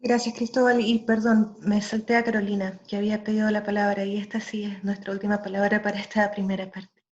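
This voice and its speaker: A woman moderates an online discussion in an even, flat tone, politely passing the floor from one speaker to the next. Her video call audio sounds dull and lo-fi. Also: medium pitch, brisk. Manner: polite, handing over the floor.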